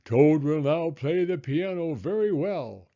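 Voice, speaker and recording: A strange, grand storybook announcer, performed by a man, proclaiming to a theater. The pitch is raised and sweeping, the delivery drawn out. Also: animated, unhurried, dark tone, dull audio. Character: strange, grand stage announcer voice from far away.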